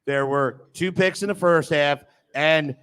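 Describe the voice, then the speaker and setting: Higher-voiced man arguing football. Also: a little slow, fairly bright tone, clean tone. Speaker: sports show panelist. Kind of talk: animated football discussion.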